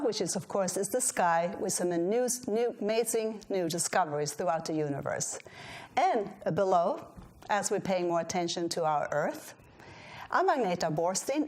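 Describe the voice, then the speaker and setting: A woman's voice: on the low side, a little mellow. Woman, Swedish accent, talk show host, casual chat, restarts sentences. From Sweden.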